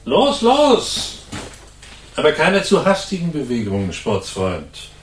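A man's voice: fairly high pitch, wildly swooping pitch, slow, a little hiss or hum, exaggerated voice. An actor playing a cynical, hardened ex-convict gunman in a radio crime drama, talking in sneering, slangy tough-guy phrases. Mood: menacing, resigned. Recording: roomy studio echo.

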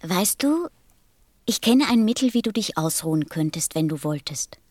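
Earnest, curious little prince. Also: female voice, lowish voice, big pitch swings, normal speed, darkish tone, dry recording, studio quality. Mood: eager, helpful. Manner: innocent, questioning. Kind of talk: German audio drama acting.

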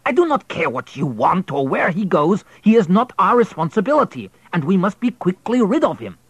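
A man's voice: sits high, very animated, normal speed, husky, no room sound, pitched above the performer's own voice, exaggerated voice. A man doing a sneering stern station master. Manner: commanding, sneering.

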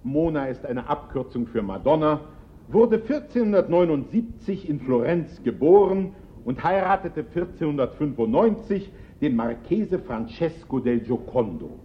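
An actor playing a flustered, pedantic official giving a formal, deliberate lecture in a German radio play. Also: male voice, on the high side, lively intonation, highs cut off, a little hiss or hum, close to an everyday voice. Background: crowd in a hall.

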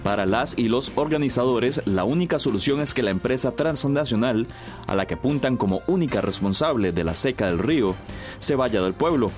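A man's voice: medium pitch, lively intonation, a little fast, a little mellow, lightly acted. Man acting a newsreader over music. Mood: serious, informative. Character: serious male radio news announcer. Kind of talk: scripted news bulletin.

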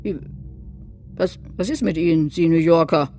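A man's voice: a little high, moderate pace, darkish tone, faint music behind, close to an everyday voice. An actor playing a brusque, teasing local man in a German radio crime drama. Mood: brisk, teasing.

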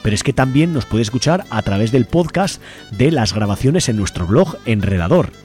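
A man's voice: mid-range voice, animated, normal speed, a little mellow. A man with a Spanish accent from Spain, presenting a community radio programme in a partly improvised way. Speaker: radio host. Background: music bed.

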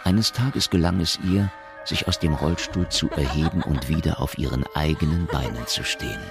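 The sober narrator of a science fiction radio play. His voice is extremely low and gravelly, and he tells the story in a measured, matter-of-fact way over music. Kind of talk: scripted radio drama narration.

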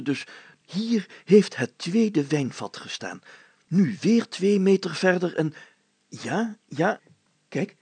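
A man voicing a pompous, fussy, excitable town mayor in a story, exclaiming and stammering when flustered. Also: high voice, husky, no echo, heavily acted.

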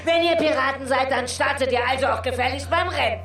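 A woman's voice: sits high, fast talker, fairly bright tone, roomy sound, distant mic. An actress playing a brash, mocking pirate woman who runs a dangerous contest in a German children's radio play. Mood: indignant, menacing. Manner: theatrical, teasing.

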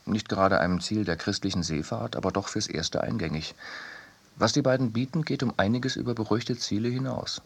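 Low, slightly husky man reading prose. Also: brisk. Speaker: reader in a radio production. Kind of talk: scripted literary essay reading.

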